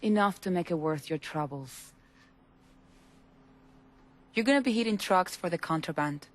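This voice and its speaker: A woman's voice: fairly low pitch, expressive, moderate pace, close to an everyday voice. A woman playing a tough, businesslike Cuban smuggler in a cinematic game, blunt and commanding, swearing in Spanish at times. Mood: resolute. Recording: faint ambient noise.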